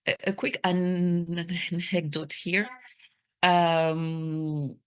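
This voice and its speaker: A woman's voice: fairly low pitch, little pitch movement, drawn-out words, clear, resonant tone. Stuttering woman from Cameroon. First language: French. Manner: stutters with repeated sounds and restarts. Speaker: speaker sharing her story. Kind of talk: personal testimony talk.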